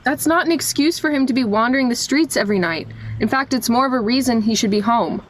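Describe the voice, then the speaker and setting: A woman's voice: fairly high pitch, quick pace, natural voice. A young woman playing a bossy, worried older sister in an amateur radio play. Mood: upset. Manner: scolding, exasperated.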